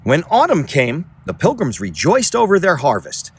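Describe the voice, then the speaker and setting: Expressive, high-pitched husky male storybook reader. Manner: dramatic, deliberate phrasing with emphatic pauses. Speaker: children's read-aloud reader, teacher and entertainer. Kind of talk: expressive children's storybook read-aloud. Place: outdoors on a beach.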